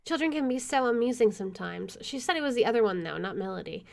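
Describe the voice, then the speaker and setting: A woman's voice: a little high, expressive, fast talker, creaky. A woman hosting a gaming video, reading visual novel narration aloud with casual asides.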